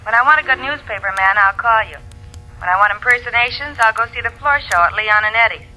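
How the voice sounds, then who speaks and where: An actress playing a sardonic, brisk, wisecracking city woman on the telephone in a 1940s radio drama. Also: fairly high pitch, pushed and bright, soft background music, poor audio.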